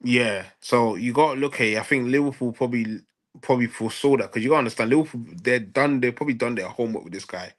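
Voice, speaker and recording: A man's voice: medium pitch, quick pace. A man in a casual football transfer debate, speaking emphatically and repeating phrases for stress. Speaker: football fan show panelist.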